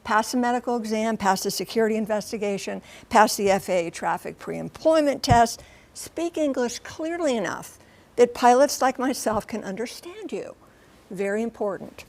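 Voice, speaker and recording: A woman's voice: a little high, lively intonation, moderate pace, creaky, a little mellow. An American woman giving a conversational live TV news report as a correspondent, explaining things emphatically in short, clipped phrases. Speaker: TV transportation correspondent and pilot.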